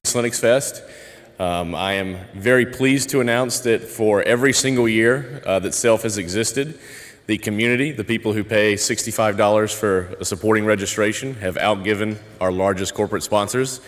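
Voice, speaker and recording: A man's voice: on the low side, moderate pace. A man, a conference organizer, making casual announcements and introducing the keynote speaker. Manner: casual, filler-heavy announcing. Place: large hotel ballroom. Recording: long hall reverb from large room.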